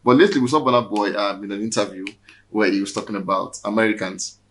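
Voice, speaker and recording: Quick-talking Nigerian man, low voice. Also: fairly even intonation, fairly bright tone. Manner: quick, conversational questioning. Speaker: host. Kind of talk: casual interview chat.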